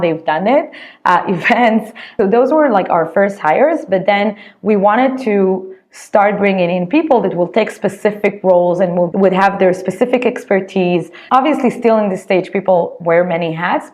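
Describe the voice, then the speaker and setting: Israeli-accented woman, chatty and low-pitched. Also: a little mellow. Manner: chatty, many "like" fillers and restarts. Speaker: startup co-founder and CEO. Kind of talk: casual interview answers.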